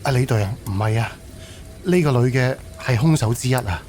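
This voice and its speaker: A man's voice: mid-range voice, brisk, a little mellow, lightly acted. A man voicing an earnest, flustered amateur investigator in a Cantonese audio drama, explaining things in a hesitant, colloquial way. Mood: sheepish, explaining. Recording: faint background noise.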